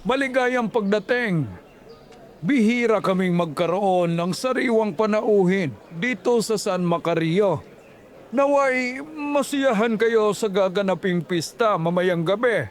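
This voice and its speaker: A man voicing a sinister old village captain in a horror audio story, speaking slowly and formally. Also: high voice. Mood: ominous welcome.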